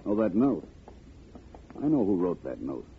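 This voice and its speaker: An actor in a 1950s radio drama playing a courteous, self-assured gentleman detective, polite and knowing in manner. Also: sits low, sing-song, unhurried. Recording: tinny, noisy old radio recording.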